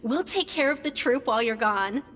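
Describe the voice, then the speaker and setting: An eager young troupe member in a video game. Her voice is high and very bright, friendly and supportive, ringing with long reverb. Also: lively intonation, moderate pace. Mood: cheerful.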